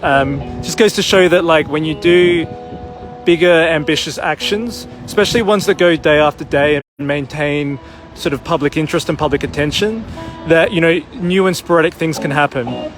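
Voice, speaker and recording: A man's voice: on the high side, normal speed. A man hosting a protest livestream outdoors, talking casually with lots of fillers. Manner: relaxed, rambling with many fillers. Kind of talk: casual on-the-spot talk. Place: outdoor city square. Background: live music nearby.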